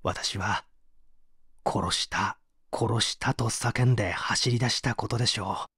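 An actor playing a weary, fatalistic murder suspect giving a dramatic confession in a mystery audio drama. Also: male voice, on the low side, a little slow, sharp tone, husky, no echo, studio quality, heavily acted. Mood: haunted. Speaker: voice actor reading a story.

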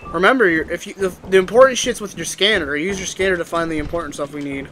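A man chatting and yelling over voice chat while playing a game with friends, swearing often and shouting in surprise. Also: sits high, quick pace, a little hiss or hum. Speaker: gamer in group voice chat. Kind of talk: casual gaming chat.

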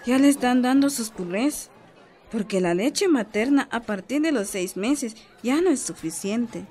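A village health adviser in a radio soap. Her Guatemalan voice is slightly high, and she explains things in a patient, encouraging, assured way. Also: lively intonation, measured pace, a little mellow. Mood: reassuring, instructive. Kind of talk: radio soap opera acting.

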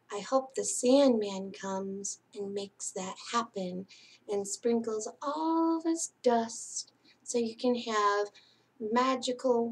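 A middle-aged woman hosting a children's story time, speaking and reading aloud in a gentle, singsong way to young children. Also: fairly high pitch. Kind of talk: children's picture-book read-aloud. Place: small indoor space hung with curtains and string lights.

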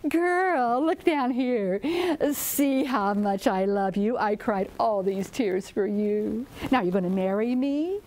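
A woman voicing a giant male python in a folktale, a lovesick suitor who pleads and wails in drawn-out cries. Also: medium pitch, darkish tone, lightly acted, rough recording. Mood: lovesick pleading. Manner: wailing, drawn-out pleading and boo-hooing. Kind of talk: animated oral folktale telling.

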